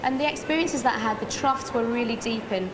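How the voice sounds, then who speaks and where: A British woman contestant answering a host's questions, halting and restarting as she talks. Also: a little high, a crowd in the background, rough recording. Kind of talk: interview answers.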